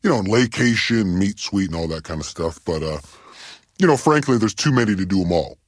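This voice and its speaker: A man playing a goofy, self-important rock radio DJ in a video game, rambling in a deadpan way with ums and trailing-off thoughts. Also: sits low, brisk, no room sound, clean studio sound. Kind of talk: comedic radio DJ patter.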